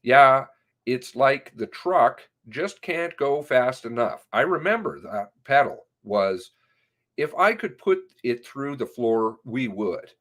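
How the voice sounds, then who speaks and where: A man reads an interview transcript aloud at a slow, halting pace. His mid-range voice moves up and down in an animated way, and he breaks away from the text now and then to add asides. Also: medium pitch.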